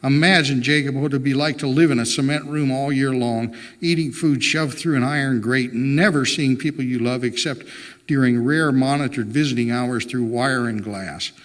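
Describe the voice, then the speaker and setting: A man's voice: medium pitch, steady tone, normal speed, lightly acted. Guy reading a wife from a novel, earnest and insistent, pleading her case, big hall reverb. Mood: impassioned, indignant. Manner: impassioned, insistent.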